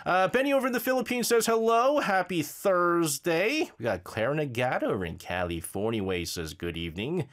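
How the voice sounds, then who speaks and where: A man's voice: on the high side, sing-song, moderate pace, hardly any pauses, a bit bright. A man hosting a radio show, reading announcements and listener messages with energy and many fillers; he has a slight cold, sounds a bit nasal and drowsy. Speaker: radio host.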